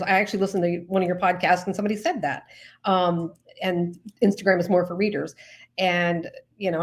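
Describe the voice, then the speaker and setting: A woman novelist chatting casually as an interview guest, informal and self-effacing, feeling her way through her sentences. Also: fairly low pitch.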